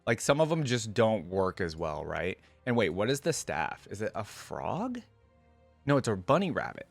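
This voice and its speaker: A man's voice: fairly low pitch, dry recording. A man giving casual, informal reaction commentary as an online host, musing and thinking aloud as he goes.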